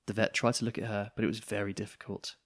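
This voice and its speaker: A man reading a children's picture book aloud in an expressive storytelling style. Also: fairly low pitch, quick pace.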